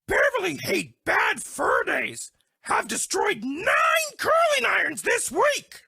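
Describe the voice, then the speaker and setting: A woman's voice: very high voice, creaky, pushed and bright, no room sound, heavily acted. A woman voicing a sweet, dramatic, lovestruck female bear in a cartoon dating game, speaking broken English in a gushing way. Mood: earnest, coy.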